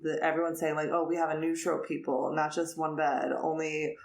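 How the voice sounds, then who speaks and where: A woman's voice: sits low, little pitch movement, fairly quick, vocal fry. A woman co-hosting a podcast, chatting casually and enthusiastically about books. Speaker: podcast co-host. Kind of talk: casual book chat. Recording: roomy echo.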